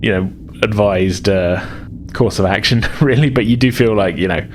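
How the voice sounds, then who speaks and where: A man speaking as game master, narrating a tabletop role-playing game in a halting, conversational way. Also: on the low side, darkish tone, a little hiss or hum.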